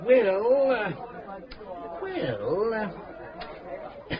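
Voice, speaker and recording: An actor playing a tipsy, grandiose older English gentleman in a radio drama, effusive and florid, repeating himself. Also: high voice, very animated, very slow, muffled recording, a crowd in the background, exaggerated voice. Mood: tipsy, jovial. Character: tipsy, grandiose, retired gentleman from London, genial and roguish. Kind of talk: British radio drama acting. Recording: radio play in noisy pub.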